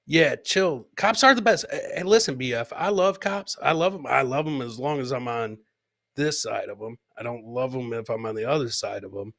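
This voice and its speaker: A man hosting a live comedy show, joking crudely about video clips in an animated way and repeating his lines for emphasis. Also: mid-range voice, normal speed, gravelly. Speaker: podcast host.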